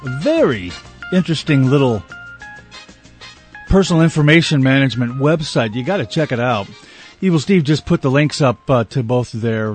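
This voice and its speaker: A man's voice: mid-range voice, no echo. A man with a slight Canadian accent, hosting a radio talk show in a conversational interviewing style.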